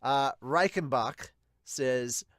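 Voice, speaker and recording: A man in a dry, close recording gives casual, excitable commentary as a podcast host. His voice sits at a middling pitch, and he moves between reading aloud and reacting in exclamations. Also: drawn-out words, dry recording.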